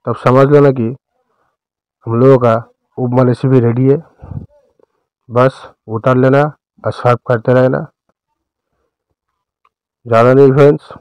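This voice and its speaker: A man with an Indian accent narrating a recipe step by step as a cooking host, in a smooth, clear voice. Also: mid-range voice, darkish tone, dry recording, highs cut off.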